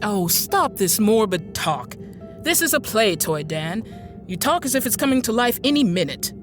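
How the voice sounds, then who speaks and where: Actress as a troubled, insistent storyteller, expressive pitch. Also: female voice, normal speed, music in the background, close to an everyday voice. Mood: alarmed, stern. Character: troubled woman recounting a dark tale, stern and uneasy. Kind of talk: audio drama monologue and dialogue.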